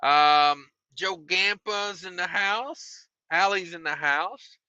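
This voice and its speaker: A man hosting a trucking livestream, reading viewer comments in a relaxed, rambling way with many fillers and repeated phrases, his voice getting tired and hoarse. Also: sits high, slow pace, no room sound, lo-fi sound. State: losing his voice.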